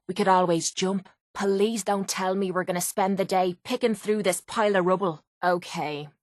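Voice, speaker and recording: Casting: actress as a tough young Irish woman for a game companion, blunt and sardonic, mid-pitched, closely recorded and dry. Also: no echo, natural voice. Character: tough, cynical, foul-mouthed young Irish woman fighter. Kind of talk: video game companion voice acting. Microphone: close, dry studio microphone.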